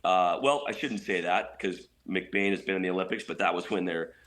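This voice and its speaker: A man's voice: low-pitched, moderate pace, a bit bright. A man giving enthusiastic, conversational hockey analysis as a guest on a sports radio show. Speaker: hockey play-by-play announcer as guest. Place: at home on a couch, remote call.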